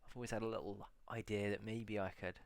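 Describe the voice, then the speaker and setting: Gravelly low-voiced man musing aloud. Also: little pitch movement, dry recording. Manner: musing aloud, reading titles slowly with many pauses. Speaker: video blogger. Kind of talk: casual solo reflection.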